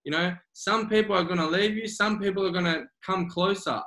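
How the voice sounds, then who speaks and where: Emphatic high-voiced Australian man, roomy sound. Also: a little fast, pushed and bright. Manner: earnest, emphatic, repeats key phrases. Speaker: guest mindset coach. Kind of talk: remote interview. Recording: roomy echo.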